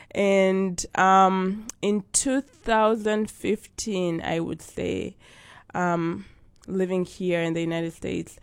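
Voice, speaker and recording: A woman from Uganda recounts her childhood and faith in a measured, slow way. Her voice has a gravelly edge and sits a little low. The sound is dry and close. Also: no room sound. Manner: slow, thoughtful storytelling with fillers. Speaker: guest. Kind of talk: interview about life and faith.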